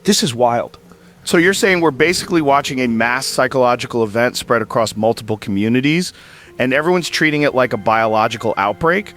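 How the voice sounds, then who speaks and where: A man's voice: mid-range voice, a little fast, fairly bright tone, lightly acted. A man playing a curious, skeptical host of a news interview in a radio drama, probing and a little incredulous. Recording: music playing under the voice. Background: background music.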